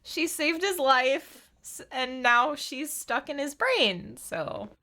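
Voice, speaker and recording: A woman's voice: high-pitched, expressive, takes it slowly, pushed and bright. A woman streamer giving casual, playful live commentary on a game, with a giggly, teasing manner.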